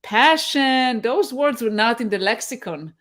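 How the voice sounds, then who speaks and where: A middle-aged woman from Israel, a guest on a video-call interview, speaking thoughtfully with many pauses and fillers. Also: fairly high pitch, lively intonation, fairly bright tone. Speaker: guest, tech mentor.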